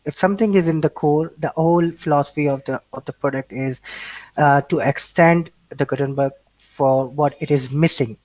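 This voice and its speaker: A man's voice: mid-range voice, a little mellow, no echo, poor audio. Hesitant Pakistani man, dry sound. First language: Urdu. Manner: hesitant, many restarts and fillers. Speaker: interview guest. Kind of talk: casual remote interview.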